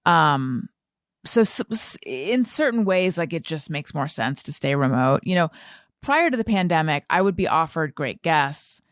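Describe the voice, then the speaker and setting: American woman podcaster, low-pitched and thoughtful. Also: big pitch swings, dry recording, studio quality. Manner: halting, self-correcting, thinking aloud. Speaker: podcast host and interviewer. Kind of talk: casual conversational interview chat.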